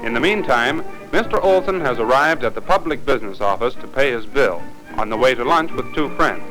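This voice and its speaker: An American man reading formal, deliberate, instructive narration for a 1950s training film. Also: mid-range voice, animated, low-quality recording. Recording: 1950s film soundtrack, music behind.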